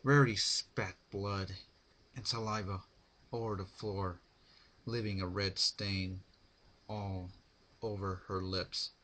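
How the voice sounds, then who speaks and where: A man's voice: deep voice, fairly even intonation, slow pace, pauses often, fairly bright tone. A man narrating a piece of fan fiction as a dramatic reading.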